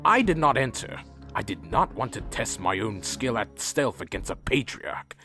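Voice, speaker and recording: A man's voice: lowish voice, sing-song, moderate pace, sharp tone, soft background music, exaggerated voice. A man playing a proud, troubled vampire leader who narrates his own story in a fantasy audio drama, earnest and dramatic. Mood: resolute. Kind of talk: first-person scripted narration and audio drama acting.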